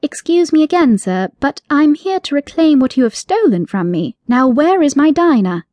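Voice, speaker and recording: A woman voicing an innocent, earnest young woman with a pleading manner, her pitch raised above her own voice. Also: lively intonation, moderate pace, darkish tone, no room sound. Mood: demanding.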